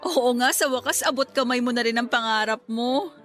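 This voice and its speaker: A woman acting a sentimental young working woman who hopes to teach, earnest and expressive, in a radio drama. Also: fairly high pitch, moderate pace, faint music behind, lightly acted. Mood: proud. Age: young adult.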